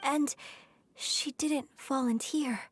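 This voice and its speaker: A woman's voice: high-pitched, fairly even intonation, slow, slight background noise. An actress playing a refined, polite, thoughtful young woman in a video game, speaking in a poised, formal way. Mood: realizing.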